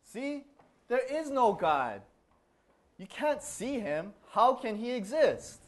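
A man's voice: very high voice, sing-song, slow pace, exaggerated voice. A man playing a dismissive, scoffing young skeptic in a children's church skit. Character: scoffing skeptical male student.

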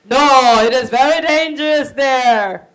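A woman voicing a protective male village chief in a children's story, warning loudly and urgently. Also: high-pitched, slow pace, voice pushed up.

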